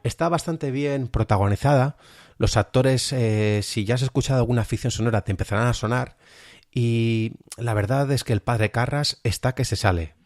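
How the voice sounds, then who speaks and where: A man's voice: mid-range voice, normal speed, darkish tone, no echo. A man presenting a podcast review of audio fiction in an informal, emphatic way. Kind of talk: review narration.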